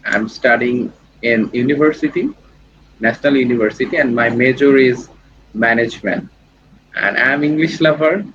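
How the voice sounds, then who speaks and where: A man's voice: mid-range voice, normal speed. A young man from Bangladesh, a university student, speaking hesitant English on a video call. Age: young adult. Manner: hesitant, non-native English with restarts. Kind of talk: casual video call chat. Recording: dull lo-fi call audio with faint noise.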